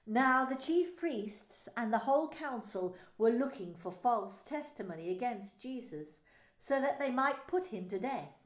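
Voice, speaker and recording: A woman minister narrating scripture in an expressive, deliberate way. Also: a little high. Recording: echoey room.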